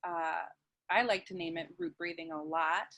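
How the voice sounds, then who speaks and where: Soft-spoken woman guiding gently. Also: low-pitched, fairly even intonation, normal speed. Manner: soft, flowing, with many "like"s and "um"s. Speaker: guest, sensual wellness teacher. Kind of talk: conversational interview, then guided breathing.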